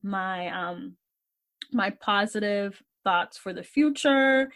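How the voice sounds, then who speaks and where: A woman in her twenties co-hosting a podcast, relaxed and affirming in casual chat. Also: mid-range voice, slow pace. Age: 20s. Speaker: podcast co-host.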